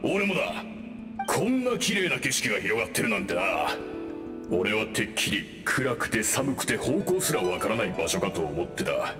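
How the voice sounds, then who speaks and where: A man's voice: on the low side, very animated, normal speed. A man voicing an easygoing, reflective male ghost in a Japanese video game. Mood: relaxed, reflective. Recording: echoey, music behind.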